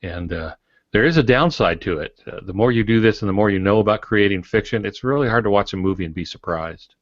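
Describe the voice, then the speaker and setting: A middle-aged man with a low voice talks casually on a writing podcast. He explains things in a measured way with dry humor, and the recording is a little dull. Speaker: podcast panelist, fiction writer. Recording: dull audio in echoey room.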